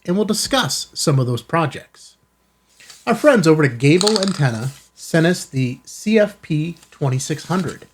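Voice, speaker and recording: A man's voice: on the high side, a little hiss or hum. A man hosting a casual unboxing video, talking to viewers in an enthusiastic, folksy way with self-kidding asides. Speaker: host of a ham radio channel. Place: studio.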